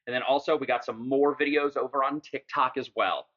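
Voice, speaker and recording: A man hosting a channel, talking casually and rambling over clips, with a raspy voice that is hoarse from a recent illness. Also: medium pitch, fairly even intonation, a little fast, a bit bright, muffled recording. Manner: rambling, laid-back, says dude and man. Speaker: channel host. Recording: hollow tunnel-like echo.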